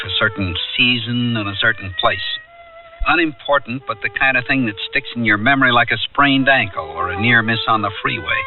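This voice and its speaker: A wry bachelor adman played by a male actor in a radio drama, with an everyday mid-pitched voice. The sound is narrow and a little distant, with music clearly behind it. He tells his story in a measured, reflective way. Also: lightly acted. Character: wry bachelor adman reminiscing about a summer holiday. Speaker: narrator and character in a radio play. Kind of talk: 1970s American radio drama acting.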